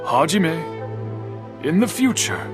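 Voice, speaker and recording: An actor playing a formal, stern but kindly older master craftsman in a video game, measured and courteous. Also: fairly high pitch, wildly swooping pitch, music in the background, exaggerated voice. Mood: proud, solemn. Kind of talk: video game character dialogue.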